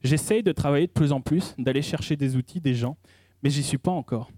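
A man giving a sermon in a conversational style, pausing often and restarting his sentences as he speaks. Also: on the high side, warm tone, no echo. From French-speaking. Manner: conversational preaching with frequent hesitations and restarts. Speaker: preacher.

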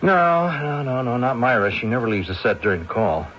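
A man's voice: medium pitch, very animated, fairly quick, fairly bright tone. A flustered film crewman. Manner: hesitant, stammering fillers. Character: flustered male film crew member. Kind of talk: 1970s radio drama acting. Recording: dull old radio broadcast with faint hiss.

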